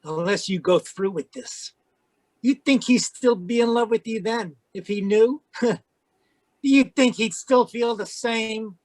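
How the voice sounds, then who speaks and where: A man's voice: high-pitched, a little slow, no room sound. A man playing a pushy, slick, scheming villain in an old-time radio drama. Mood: menacing. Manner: slick, menacing, calls woman baby. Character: scheming, pushy male villain in frontier melodrama.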